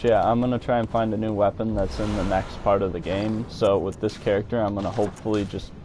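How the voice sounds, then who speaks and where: A man's voice: lowish voice, quick pace. A man giving casual live commentary as a game streamer, rambling and thinking aloud as he plays. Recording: noisy home stream, steady loud background noise.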